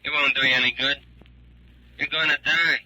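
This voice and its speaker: A man's voice: fairly high pitch, steady tone, moderate pace, pushed and bright, low-quality recording. An actor playing a menacing male stalker on the telephone, taunting and coldly threatening, in 1940s radio drama style. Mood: gloating threat.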